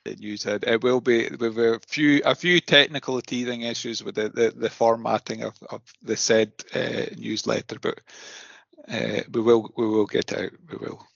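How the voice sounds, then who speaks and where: A man hosting a podcast in a casual, halting chat style, often repeating his words. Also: mid-range voice, steady tone, creaky, fairly bright tone, no echo, lo-fi sound. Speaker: podcast host.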